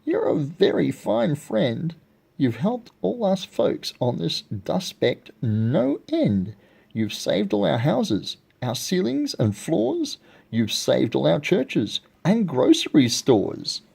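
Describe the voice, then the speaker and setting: A man's voice: on the high side, wildly swooping pitch, slow pace, gravelly, a little mellow, slightly rough, pitched above the performer's own voice, heavily acted. A man voicing a tiny, polite, grateful storybook mayor with an earnest, piping delivery.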